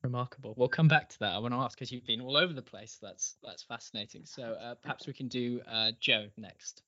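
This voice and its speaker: A man's voice: mid-range voice, fairly quick, bright, forceful tone, muffled recording. A man hosting a podcast and interviewing guests in an encouraging, conversational way.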